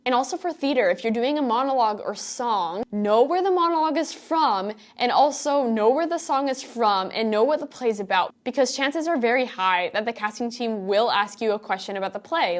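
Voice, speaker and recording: A young woman in her twenties, an acting student, giving casual and emphatic audition advice to camera, with lots of "like" and asides. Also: fairly high pitch. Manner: chatty, emphatic, full of "like" and asides. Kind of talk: casual tutorial vlog to camera.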